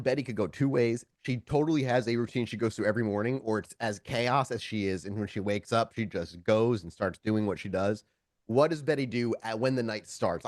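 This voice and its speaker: A man's voice: medium pitch, no room sound, studio quality. A man narrating a tabletop roleplaying game as storyteller, improvising descriptive scenes and rephrasing as he goes.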